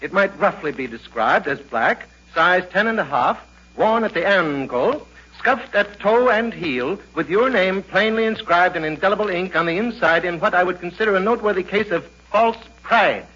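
Pompous, over-refined radio comedy gentleman. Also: high voice, animated, normal speed, husky, full character voice. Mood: stuffy, formal. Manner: pompous, florid, formal diction. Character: pompous, over-refined male movie theater manager. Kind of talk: 1940s American radio comedy acting. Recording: dull, noisy 1940s radio recording.

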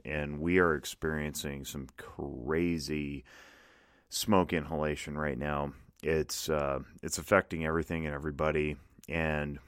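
Male solo podcast host, extremely low and resonant, slightly scratchy from smoke, halting with lots of fillers. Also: no room sound, studio quality. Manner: hesitant, many fillers and restarts. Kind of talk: casual solo podcast talk. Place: home studio in the Reno area.

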